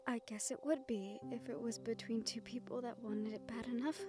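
A woman's voice: a little high, steady tone, moderate pace, music in the background, natural voice. A woman playing a shy, sweet, self-conscious young nephilim woman in love, speaking hesitantly and tenderly in a romantic audio roleplay. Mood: tender, hopeful. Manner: hesitant, tender, breathy hushed passages.

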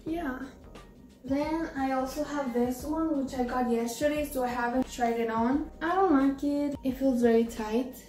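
A woman's voice: sits high, a little slow, far from the mic. Chatty teen girl with a slight Mexican accent. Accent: Mexican-tinged English. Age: teens. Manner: chatty, rambling, tired and easygoing. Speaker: vlogger. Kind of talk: casual try-on vlog chat. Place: small bedroom. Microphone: camera across the room. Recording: roomy, from off the mic, soft music behind.

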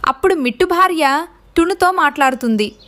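High-pitched woman narrating a children's story. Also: lively intonation, fairly quick, fairly bright tone.

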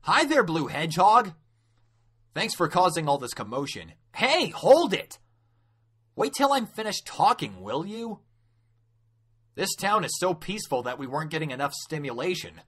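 A man voicing a cocky, boastful young highway cop, smug and theatrical, in an amateur anime dub. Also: very animated, normal speed, pushed and bright, husky, exaggerated voice.

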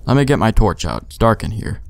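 A man chats casually as he plays a video game, thinking aloud in loose, sweary language. His voice sits fairly low with a noticeable creak and animated melody. Faint game ambience runs behind him. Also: a little fast, slight background noise. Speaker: let's play host.

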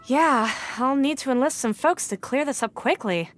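A woman playing a dutiful, concerned young female knight on patrol in a video game, speaking briskly. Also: high-pitched, expressive. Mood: matter-of-fact.

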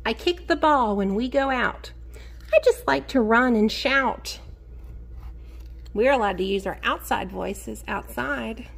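A woman teacher reading a picture book aloud to young children in an expressive sing-song way, encouraging them and asking them questions. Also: a little high, vocal fry, slightly rough. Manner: expressive, sing-song, drawn-out key words; encouraging, addresses children directly.

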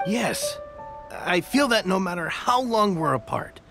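A man's voice: high-pitched, big pitch swings, measured pace, over music, heavily acted. A man playing a loyal, heartfelt soldier in a video game, speaking earnestly. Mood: heartfelt, proud. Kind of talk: scripted video game voice acting.

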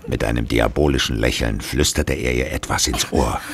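An actor as a grave storyteller, narrating a horror audio drama in a solemn, suspenseful manner. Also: male voice, a bass voice, moderate pace.

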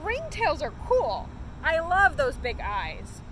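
Woman reading as an eager, high-pitched little girl. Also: wildly swooping pitch, slow, full character voice. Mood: excited. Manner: eager, exclaiming. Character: curious excitable little girl in a storybook. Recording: noisy outdoor recording.

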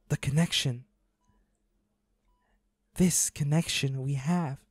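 A man playing a devoted, anguished boyfriend in an intimate audio roleplay, pleading and halting with emotion. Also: on the high side, mellow, no room sound, studio quality. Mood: tender, sorrowful. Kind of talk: intimate dramatic audio roleplay, one-sided dialogue.

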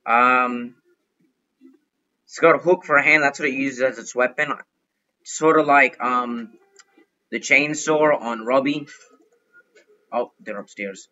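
A young man giving chatty, excitable commentary while playing a game, thinking out loud as he goes. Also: medium pitch, slow, pauses often, lo-fi sound. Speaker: gaming youtuber. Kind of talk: casual gameplay commentary.

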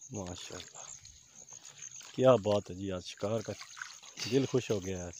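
A young man filming a fishing trip on a lakeshore, giving excited running commentary. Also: lowish voice, unhurried, slight background noise. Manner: excited, repeats praise exclamations. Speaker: fishing vlogger. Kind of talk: casual outdoor commentary. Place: lakeshore outdoors.

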